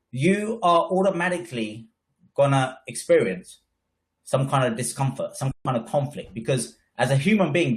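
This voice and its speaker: A man with a head cold, presenting a trading webinar in an emphatic, coaching way, often tagging lines with "right?". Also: mid-range voice. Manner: emphatic, frequent "right?" tags. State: congested with a cold. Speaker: trading educator.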